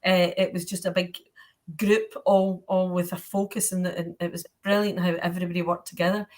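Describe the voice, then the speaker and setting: A woman's voice: lowish voice, level tone, moderate pace, darkish tone. A Scottish woman hosting a panel Q&A in a chatty, colloquial, encouraging way. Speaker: panel host.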